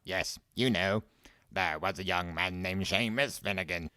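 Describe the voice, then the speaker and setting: A man voicing a stubborn, gasping doorkeeper with a gruff manner in a comic story. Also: deep voice, unhurried, pushed and bright, no echo, clean studio sound. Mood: starstruck. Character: gasping, stubborn loudspeaker doorkeeper, a starstruck fan.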